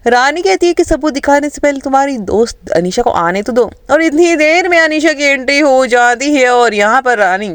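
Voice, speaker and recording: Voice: high-pitched, animated, moderate pace, few pauses, fairly bright tone, no room sound, studio quality. A narrator recapping an upcoming television serial plot for viewers in an emphatic storytelling manner. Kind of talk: dramatic plot recap narration with quoted dialogue.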